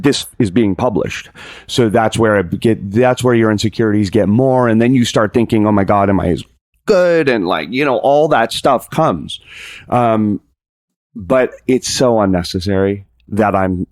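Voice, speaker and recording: A man guesting on a podcast, talking casually and reflectively, often halting as he searches for his words. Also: on the low side, darkish tone, no room sound, clean studio sound.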